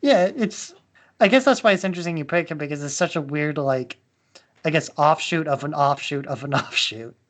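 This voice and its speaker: A man's voice: a little high, moderate pace, a bit bright. A man co-hosting a podcast, chatting casually about movies. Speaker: podcast co-host.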